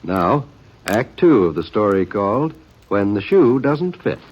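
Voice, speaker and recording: Vintage male announcer, low voice, highly inflected scripted read; muffled old radio. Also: very animated, measured pace, loud music behind the voice. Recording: dull old radio recording.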